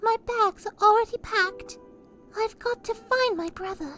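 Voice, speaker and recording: A small, whimsical jester girl from a fantasy game, very high and slow-spoken. Her delivery is quaint, plaintive and dramatic, over faint music. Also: fairly bright tone. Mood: hopeful. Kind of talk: fan dub of a fantasy video game.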